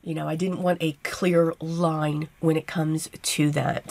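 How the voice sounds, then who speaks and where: A woman with a tired, hoarse voice narrating a card-making tutorial step by step, chatty and casual. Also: low-pitched, darkish tone. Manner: chatty step-by-step explaining with fillers. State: losing her voice, hoarse. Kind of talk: voice-over craft tutorial.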